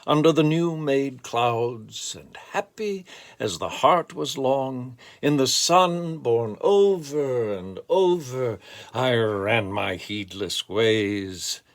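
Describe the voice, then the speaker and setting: Slow, gravelly man reciting and reflecting. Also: medium pitch, very animated. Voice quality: gravelly, creaky voice. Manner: deliberate, measured delivery. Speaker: poetry reader. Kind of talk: reflective talk and expressive poetry recitation.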